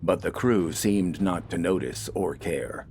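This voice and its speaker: Male narrator, very low register, measured dramatic storytelling, light music underneath. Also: darkish tone. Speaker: audiobook narrator.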